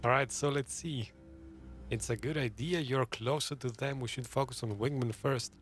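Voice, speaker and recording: A man's voice: mid-range voice, moderate pace, soft background music. A man streaming a game with casual live commentary, chatty and joking as he thinks aloud.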